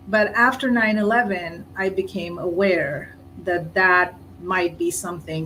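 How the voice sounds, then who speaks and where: A woman's voice: fairly low pitch, fairly bright tone, slight background noise, rough recording. A woman who grew up in India, speaking earnestly and reflectively as a participant in a panel discussion.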